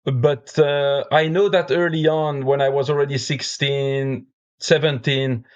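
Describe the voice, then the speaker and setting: A man's voice: mid-range voice, little pitch movement, a little slow, dull audio. A man in his forties from Belgium with a French accent, talking casually as a podcast guest, with many fillers and restarts. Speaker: guest, brewer and beer judge.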